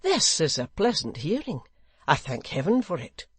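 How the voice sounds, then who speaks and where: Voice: mid-range voice, sing-song, moderate pace, slightly rough, dry recording. A reader voicing a zealous, self-righteous nobleman who speaks in a formal, earnest, declamatory way. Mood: pleased zeal. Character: zealous, self-righteous English lord, solemnly easily offended.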